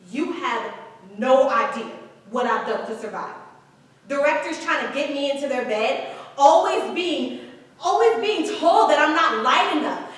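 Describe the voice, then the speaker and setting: Woman acting a hard-bitten actress, high voice, emphatic, coaxing, stage drama, very echoey distant recording. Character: Diane, proud, pragmatic, hard-bitten film actress urging a stubborn friend. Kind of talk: staged play reading, dramatic dialogue. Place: echoey room at a staged reading. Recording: very echoey room, distant microphone.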